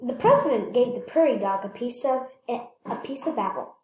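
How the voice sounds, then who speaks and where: A woman's voice: a little high, lively intonation, moderate pace, a little mellow. Woman reading a storybook in an echoey room. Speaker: read-aloud storyteller. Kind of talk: reading a children's picture book aloud, with casual asides.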